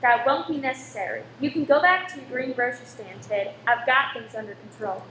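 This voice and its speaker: A woman playing a brisk, exasperated cinema proprietress in a radio play. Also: a little high, sharp tone. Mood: firm. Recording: echoey room, faint background noise.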